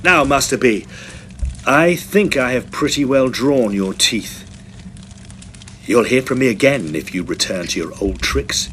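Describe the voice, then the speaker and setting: A male actor plays a righteous young student with a commanding, menacing delivery. His pitch is lively and varied as he speaks with grim deliberation in an audio drama. There is faint noise behind the voice. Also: measured pace. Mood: stern triumph. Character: stern, righteous young male student forcing a villain at gunpoint. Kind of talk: audio drama acting and first-person narration.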